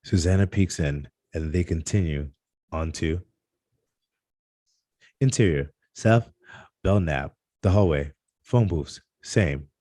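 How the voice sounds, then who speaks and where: A man reads out screenplay stage directions as narrator. His voice is deep and mellow, with a dry, close sound. The delivery is halting, tripping on the odd word. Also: dry recording. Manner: halting read-aloud with stumbles over words. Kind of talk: scripted narration at a table read.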